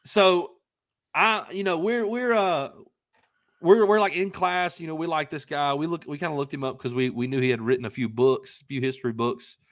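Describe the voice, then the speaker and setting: A young man co-hosting a podcast, telling a casual anecdote in a rambling, emphatic, excitable way. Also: on the high side, moderate pace, no room sound, studio quality. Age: young adult. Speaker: podcast co-host telling a story.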